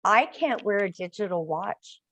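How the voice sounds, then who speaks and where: An older woman hosting a conversational interview, speaking in a halting way with phrases that trail off. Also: medium pitch, expressive, fairly bright tone. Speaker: host interviewing guests.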